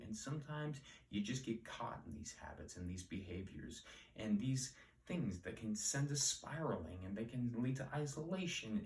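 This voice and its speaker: A man's voice: medium pitch, darkish tone. Chatty man, roomy and distant. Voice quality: soothing voice. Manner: chatty, rambling, self-promoting asides. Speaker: host of a Latin word-origin channel. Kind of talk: casual etymology explainer.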